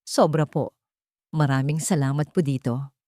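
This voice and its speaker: A timid young single mother in a romance audio story, played by a female narrator. She is polite and deferential, with a mellow tone and a dry, close sound. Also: low voice, steady tone, moderate pace, dry recording, lightly acted. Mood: shy, grateful. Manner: shy, deferential. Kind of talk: story character dialogue.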